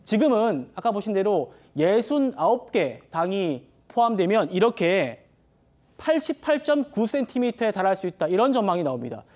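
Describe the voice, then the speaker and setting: A man anchoring a TV news talk show in a studio, with a measured and formal presenter delivery. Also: high voice, sing-song, darkish tone.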